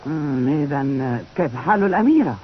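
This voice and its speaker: An actress playing a vain, jealous and wicked countess in a dubbed cartoon, theatrical and commanding. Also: female voice, a bass voice, lively intonation, a little fast, slight background noise. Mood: stern, outraged. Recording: muffled old cartoon dub, loud music behind.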